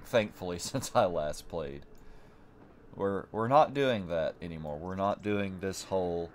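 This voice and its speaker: A man's voice: low-pitched, a little hiss or hum. A man casually commentating his gameplay with a stuffy nose, rambling and repeating words as he thinks. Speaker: let's play host.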